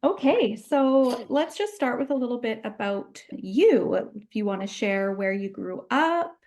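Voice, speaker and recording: A woman's voice: a little high, animated, measured pace, a little mellow. A woman hosting an interview over a video call, asking questions in a friendly, meandering way with plenty of fillers. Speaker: podcast host.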